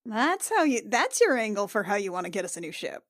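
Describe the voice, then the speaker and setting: A woman's voice: mid-range voice, sing-song, brisk, a bit bright, no echo, clean studio sound. A woman playing a tabletop roleplaying game, chatting in a casual, slangy, improvised way. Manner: slangy, conversational.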